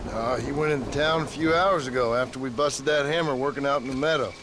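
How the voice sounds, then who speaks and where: An actor playing a gruff, weary frontier rancher and father with a gravelly American voice and a dry, laconic way of talking. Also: mid-range voice, fast talker, fairly bright tone, slight background noise, natural voice. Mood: uneasy, guarded. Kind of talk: video game cutscene acting.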